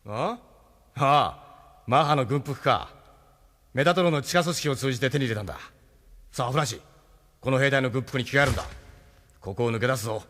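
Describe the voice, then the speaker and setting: A man's voice: medium pitch, brisk, pauses often, a bit bright, natural voice. A man acting in a Japanese audio drama as a capable, no-nonsense ship captain leading a rescue. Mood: hushed urgency.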